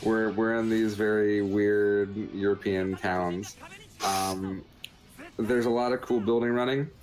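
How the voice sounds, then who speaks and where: A man's voice: on the low side, flat delivery, slow pace. A man casually commentating over gameplay footage, explaining things in a joking, meandering way. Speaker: podcast host reviewing a video game.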